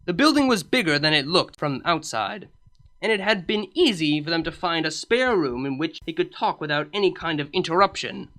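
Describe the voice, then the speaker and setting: A woman's voice: deep voice, expressive, normal speed, fairly bright tone. A woman reading a story aloud as narrator, in a scripted storytelling style. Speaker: fan fiction reader, narrator.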